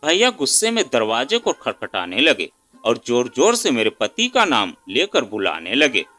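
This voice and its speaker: A man's voice: mid-range voice, wildly swooping pitch, normal speed, bright, forceful tone. A man narrating a scripted moral family story in an emotive, dramatic manner. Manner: emotive, reads a woman's first-person account. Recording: music under the voice. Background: instrumental music.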